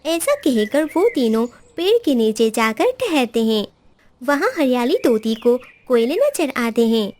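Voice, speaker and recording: A woman's voice: fairly high pitch, sing-song, unhurried, darkish tone. A woman narrating a scripted children's animal story as the narrator.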